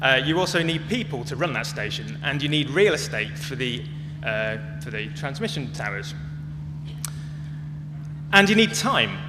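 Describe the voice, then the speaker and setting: A man's voice: mid-range voice, big pitch swings, normal speed. A man speaking as a conference presenter, explaining things in a prepared public talk. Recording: echoey hall with clear hum and noise.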